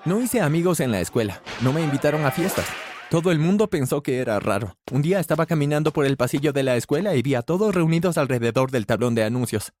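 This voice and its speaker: A man narrating a scripted first-person story with animated delivery, shifting his pitch when he voices the characters. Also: fast talker, a little hiss or hum.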